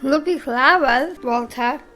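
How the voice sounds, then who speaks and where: A woman playing a blunt, loyal young care home resident in an audio drama, speaking plainly and haltingly. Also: high voice, bright, forceful tone, faint music behind. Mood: plain, excitable.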